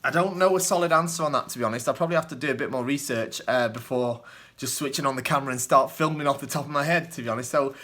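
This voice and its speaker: A man's voice: medium pitch, very fast, pushed and bright. A young man with a mild northern English accent from the northwest, casually answering viewer questions off the top of his head, with plenty of ums and small chuckles. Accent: mild northern English, Lancashire and Yorkshire mix. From northwest England. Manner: hesitant, lots of ums and uhs, chuckles often. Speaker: kendo channel host answering viewer questions. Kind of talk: casual off-the-cuff Q&A.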